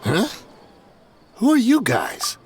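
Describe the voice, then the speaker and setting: Shifty, wildly animated nervous smuggler. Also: male voice, high-pitched, slow, slight background noise, exaggerated voice. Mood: startled, hushed. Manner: slippery, placating, defensive. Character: shifty, nervous male smuggler, bargaining and wheedling. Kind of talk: video game voice acting.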